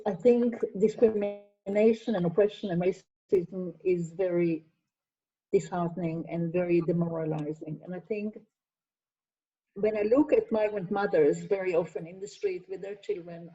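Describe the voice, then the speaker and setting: A woman's voice: mid-range voice, normal speed, mellow. An older woman, a Hebrew speaker and sociologist, answering questions earnestly in an online masterclass, stressing points with lists and frequent restarts. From Israel. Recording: distant, dull lo-fi video call audio.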